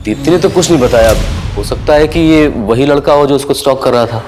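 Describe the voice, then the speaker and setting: A man's voice: medium pitch, brisk, close to an everyday voice. An actor playing an emotional, insistent man close to a worried family in a TV crime drama, with music behind. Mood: earnest. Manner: emotional, pleading. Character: agitated, insistent male friend of a victim's family. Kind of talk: Hindi TV crime serial acting.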